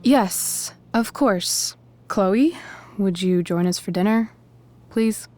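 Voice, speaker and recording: A confident teenage girl voiced by a woman in a video game drama, medium in pitch. She talks smoothly and conspiratorially, poised and a little scheming, with soft music behind. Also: takes it slowly, lightly acted. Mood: polite, guarded. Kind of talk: video game cutscene acting.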